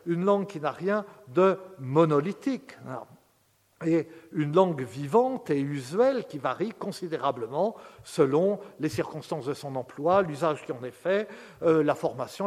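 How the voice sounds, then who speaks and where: Male academic lecturer, slightly high voice, measured delivery with hesitations, long hall reverb. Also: a little mellow.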